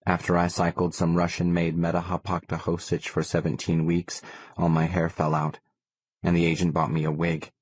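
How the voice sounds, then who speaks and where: A man's voice: deep voice, completely flat delivery, normal speed, no echo. A man reading a first-person novel as an audiobook narrator.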